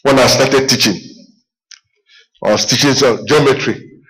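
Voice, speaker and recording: A middle-aged man from Ghana with a Ghanaian accent, preaching a sermon full of personal stories, animated and repetitive, often calling out "Amen.". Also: medium pitch, measured pace, low-quality recording. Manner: animated storytelling, repeats himself, calls "Amen".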